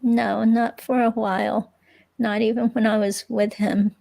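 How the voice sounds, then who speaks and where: A woman reading the part of an evasive, unsure ex-girlfriend in a police interview, with a creaky, hesitant, rambling delivery. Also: fairly high pitch, flat delivery, unhurried, dark tone. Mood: hesitant, vague. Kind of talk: dramatic reading of an interview transcript, conversational.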